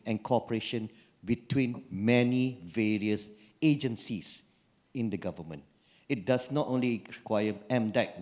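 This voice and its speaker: A man's voice: medium pitch, darkish tone. A man speaking as a government panelist, often repeating words as he searches for them. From Malaysia. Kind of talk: panel discussion.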